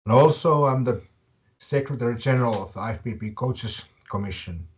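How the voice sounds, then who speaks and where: A man with a Finnish accent hosting a live webinar, speaking in short, halting, deliberate phrases. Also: medium pitch. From Finland. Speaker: webinar host and fitness academy director.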